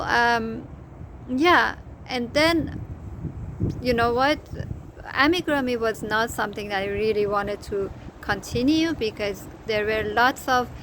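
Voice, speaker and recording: A middle-aged woman with a Persian accent, whose first language is Farsi, telling her story as a crochet designer in a casual, hesitant way, with many fillers and restarts. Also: mid-range voice. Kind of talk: casual personal story to camera. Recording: noticeable background noise.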